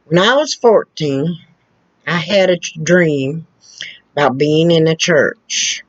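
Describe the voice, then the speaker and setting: A woman telling personal stories in a casual way, with a loud, carrying voice and a slow, halting delivery full of restarts and asides. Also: low-pitched, dry recording. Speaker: storyteller. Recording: dull lo-fi recording.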